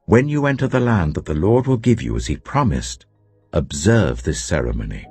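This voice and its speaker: English male reader voicing old Moses, a stern leader giving orders in a gravelly, very low voice. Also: big pitch swings, darkish tone, no room sound, soft background music, exaggerated voice. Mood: solemn. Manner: grave, measured instruction.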